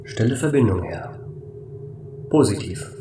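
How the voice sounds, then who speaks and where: An echoing, slightly low male computer voice, acted by a man for a sci-fi audio drama. It reports dutifully and formally from some distance, without fuss. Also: animated, unhurried, mellow. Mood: composed, matter-of-fact. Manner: formal, matter-of-fact reporting. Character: composed, dutiful male computer assistant of space station. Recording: echoey, distant, with clear background noise.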